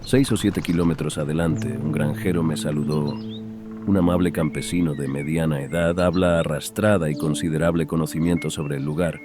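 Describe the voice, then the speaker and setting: A man's voice: extremely low pitch, fairly even intonation, moderate pace. A man reading a horror tale as a dramatic audiobook narrator. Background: background music.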